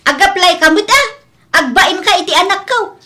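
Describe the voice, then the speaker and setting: A woman acts out a spiteful mother-in-law in a comedy drama, a grandmother who scolds with open scorn. The voice is high and sharp, and the manner is insulting. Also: lively intonation, normal speed, heavily acted. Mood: scornful, angry.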